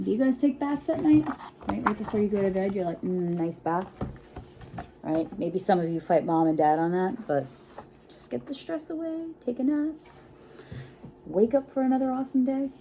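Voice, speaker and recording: A woman with a lowish, mellow voice and a lot of fry reads a children's picture book aloud. She is expressive and encouraging, often pausing to chat and check in with young listeners. Faint background noise runs under the recording. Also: dull audio. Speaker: children's storytime reader.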